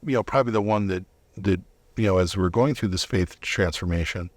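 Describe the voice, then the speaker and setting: Low-voiced man, halting and reflective. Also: dry recording. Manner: halting, reflective, often rephrasing mid-thought. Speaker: interview guest sharing a personal faith testimony. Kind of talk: conversational personal testimony.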